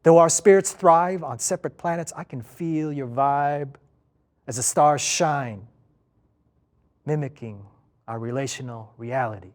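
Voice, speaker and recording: A man giving an expressive recitation of poetry as a performer. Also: on the high side, unhurried. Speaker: performer reading poetry aloud. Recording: music faintly behind the voice.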